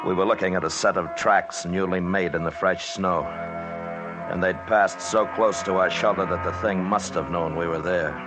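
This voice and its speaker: A man's voice: deep voice, steady tone, moderate pace, over loud music, natural voice. An actor playing a stubborn mountain climber who tells of his expedition in a grim, clipped 1950s radio drama style. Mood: grim, measured. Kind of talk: 1950s radio drama acting and first-person narration. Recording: noisy 1950s radio broadcast recording.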